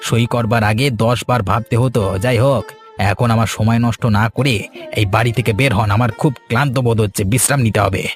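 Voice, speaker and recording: Man acting a curt, fast-talking arrogant swindler. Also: mid-range voice, fairly even intonation, soft background music, close to an everyday voice. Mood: dismissive, threatening. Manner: curt, dismissive. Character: cold, arrogant male swindler who grabs property. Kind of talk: cartoon fairy tale dialogue.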